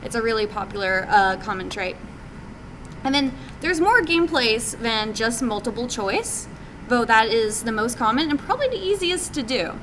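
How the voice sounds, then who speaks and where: A woman's voice: a little high, expressive, normal speed, fairly bright tone. A woman giving an informal slide presentation in a chatty, hesitant, slightly self-conscious way. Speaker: presenter from a game development studio. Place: indoor meeting room. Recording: noisy live meetup recording, background hum.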